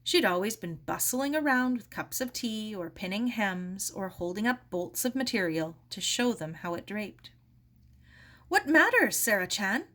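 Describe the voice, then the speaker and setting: A woman reading a children's novel aloud as narrator, in a measured, expressive storytelling manner. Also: medium pitch. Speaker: story reader.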